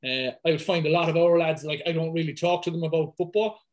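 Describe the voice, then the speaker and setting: A man in his thirties with a thick Irish accent, talking as a guest in a casual podcast conversation, lively and full of fillers. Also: fairly high pitch. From west of Ireland. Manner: fast, lively talker, many fillers. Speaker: guest, football fitness coach.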